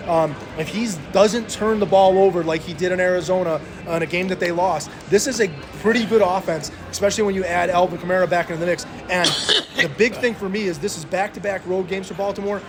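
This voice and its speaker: A man's voice: high voice, fairly even intonation, fast, crowd noise. A man co-hosting a sports betting podcast, talking through games casually with frequent hesitations.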